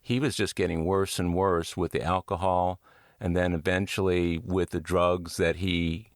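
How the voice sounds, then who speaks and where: Guy hosting an interview, really low voice, dry close sound, thoughtful caring questions. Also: little pitch movement, unhurried, dry recording. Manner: empathetic, reflective questioning. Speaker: podcast interviewer. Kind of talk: conversational recovery interview.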